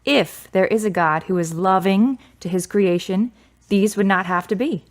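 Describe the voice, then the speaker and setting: Actress as a guarded, skeptical, grieving daughter. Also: female voice, mid-range voice, normal speed, natural voice. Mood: skeptical, defiant. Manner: wry, blunt. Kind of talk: radio drama acting.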